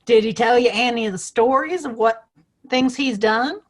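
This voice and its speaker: A woman's voice: a little high, normal speed, close to an everyday voice. A woman playing a feisty, outspoken small-town woman in a western audio play, with a folksy, plain-spoken manner. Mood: indignant.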